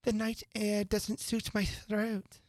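A woman's voice: medium pitch, level tone, takes it slowly, a little mellow, no room sound, voice pushed up, natural voice. A woman voicing a fussy, fluttery bird in a storybook, prim in manner. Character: old magpie and trembling canary, fussy mother birds.

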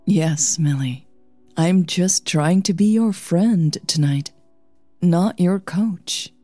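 A woman voicing a composed, caring singing coach in an audio drama, reassuring and gently teasing as she probes. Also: fairly low pitch, takes it slowly, no room sound, soft background music. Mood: gentle, probing.

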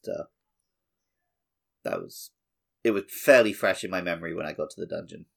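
A man with a fairly low voice chats as a podcast co-host. He is informal and conversational, often qualifying what he remembers about gaming. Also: moderate pace, creaky, a bit bright. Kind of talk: casual chat about gaming.

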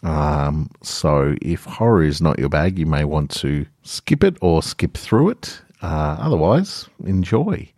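Very deep-voiced Australian man. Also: very animated, slow, hardly any pauses, darkish tone. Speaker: podcast host and story reader. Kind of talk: casual podcast introduction, then first-person horror story narration. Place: garage.

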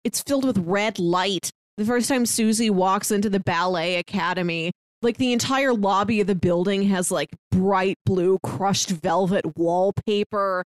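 A woman's voice: mid-range voice, measured pace, no echo. A woman co-hosting a podcast, explaining things in casual, conversational talk. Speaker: podcast co-host. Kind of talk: casual film discussion.

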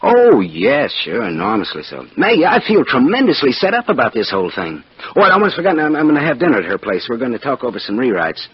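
An actor playing an excitable, self-absorbed writer husband, eager and chatty, in an old-time radio drama. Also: male voice, medium pitch, quick pace, breathy edge, no room sound, lo-fi sound, exaggerated voice. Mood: boyish, distracted.

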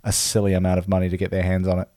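Low-voiced man chatting, easygoing. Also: monotone, warm tone, dry recording, studio quality. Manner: easygoing, agreeable, quick to laugh along. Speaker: podcast co-host. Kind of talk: casual chat.

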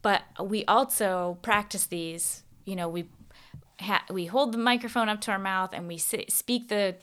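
A woman's voice: mid-range voice, normal speed, fairly bright tone. A woman hosting a casual interview, talking informally in meandering, wordy sentences. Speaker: podcast host.

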